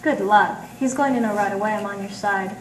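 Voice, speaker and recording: A woman playing a sassy, quick-witted sidekick in 1940s radio noir style, pert and slangy. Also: mid-range voice, close to an everyday voice. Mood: sardonic. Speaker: amateur stage radio-drama actress. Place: live performance in an art gallery. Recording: distant, echoey room with faint noise.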